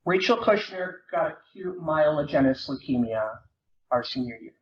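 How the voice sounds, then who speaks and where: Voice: mid-range voice, expressive, moderate pace, pitched below the performer's own voice, lightly acted. A performer reading as an awkward teenage boy who narrates his comic confession in a wry, self-deprecating way. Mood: wry, rueful. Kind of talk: dramatic reading of a first-person novel. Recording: muffled, noisy, echoey room recording.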